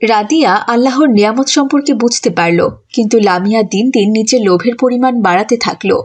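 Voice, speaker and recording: A woman's voice: a little high, fairly quick, breathy edge. A woman reading scripted narration for a children's moral story.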